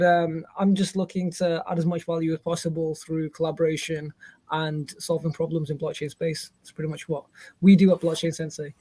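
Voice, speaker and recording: A man talks as a podcast guest in a relaxed, even flow full of ums. His voice sits high and barely rises or falls. The sound is close and dry. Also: no room sound. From Indonesia. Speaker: guest, Web3 company founder. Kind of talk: casual podcast interview.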